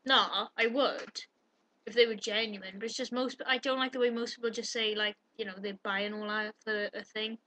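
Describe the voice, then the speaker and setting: Young Welsh woman, bright, slightly high voice, teasing and blunt. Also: fairly even intonation, moderate pace. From Wales. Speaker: vlogger. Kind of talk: casual chat to webcam.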